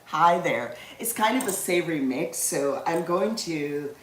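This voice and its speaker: A woman's voice: sits low, animated, measured pace, reverberant, slight background noise. A woman, chatting casually with viewers as she cooks on a livestream, laughing easily. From Guyana. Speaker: livestream cooking host. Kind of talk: casual livestream cooking chat.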